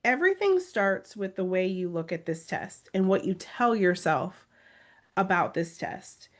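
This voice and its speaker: A woman's voice: mid-range voice, lively intonation, unhurried. A woman coaching test-takers on a live video webinar, reading comments aloud and urging them on with emphatic repetition. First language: English. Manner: encouraging, pleading, emphatic repetition.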